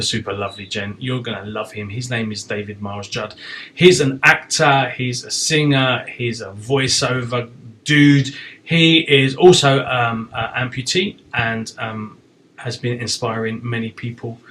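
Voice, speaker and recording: A man's voice: mid-range voice, a bit bright, a little hiss or hum. A man hosting an online talk show in a chatty, informal style.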